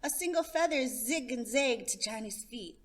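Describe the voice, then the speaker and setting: A woman hosting a story time, reading a children's picture book aloud in an expressive narration. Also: on the high side, moderate pace. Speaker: storytime host and reader.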